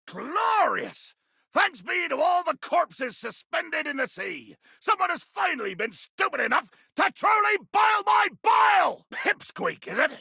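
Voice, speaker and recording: A man voicing a bloodthirsty, irascible pirate captain for a video game, ranting theatrically in archaic sea-dog speech with a gravelly growl. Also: high voice, pushed and bright, no echo, studio quality, heavily acted. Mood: raging, exultant, then indignant, menacing. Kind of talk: video game character acting.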